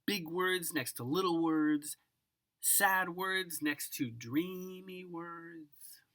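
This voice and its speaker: A woman giving an expressive children's picture book read-aloud, savoring words dramatically and speaking directly to the listener. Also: fairly low pitch. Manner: savors single words with drawn-out, dramatic delivery and occasional whispers. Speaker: storybook reader.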